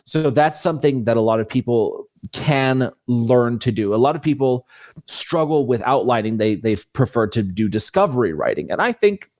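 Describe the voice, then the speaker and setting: A man's voice: mid-range voice, lively intonation, moderate pace, a little mellow. A man speaking as an author guest in an interview, explaining his method in a casual, matter-of-fact way. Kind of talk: conversational explanation of own method.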